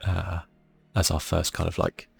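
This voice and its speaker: A man speaking softly and casually, thinking aloud as he gives ASMR commentary on a video game. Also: sits low, completely flat delivery, measured pace, gravelly, breathy edge, soft background music. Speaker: gaming channel host.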